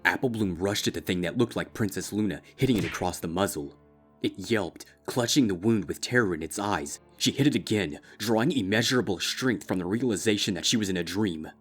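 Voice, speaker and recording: A man reading a dark fanfiction story aloud in a slow, grave, steady narrating style. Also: low voice, slightly rough. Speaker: fanfiction reader.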